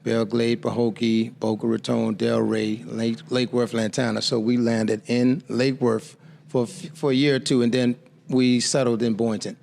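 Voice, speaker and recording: A man in his fifties from the Bahamas speaks as an interview guest, with a gravelly, fry-laden voice. He tells his story in a measured, reflective way at a mid-range pitch. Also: little pitch movement, darkish tone. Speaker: guest author.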